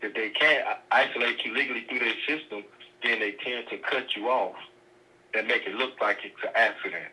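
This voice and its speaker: A man calling in to a talk show, speaking hesitantly with many pauses and fillers. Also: medium pitch, sharp tone. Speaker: caller. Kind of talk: phone-in call. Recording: tinny phone line.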